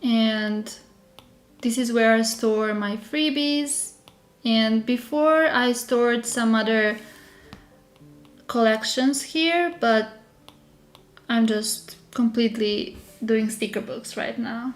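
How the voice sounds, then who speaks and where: A woman from Serbia with a Serbian accent, slightly under the weather, chatting casually and haltingly as she hosts a live tutorial. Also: a little high. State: slightly unwell with a sore throat. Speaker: digital journaling creator hosting a live stream.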